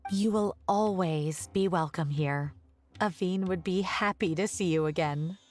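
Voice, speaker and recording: A woman voicing a calm, courteous, welcoming woman in a video game. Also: on the low side, unhurried, faint music behind, close to an everyday voice. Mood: gentle welcome.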